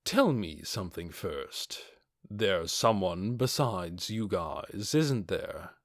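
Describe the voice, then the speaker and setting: A man voicing a calm, coldly confident armored adventurer with a measured, quietly mocking way of talking, for a fantasy audiobook. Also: deep voice, dry recording, clean studio sound, voice pushed down, full character voice. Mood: probing, cold.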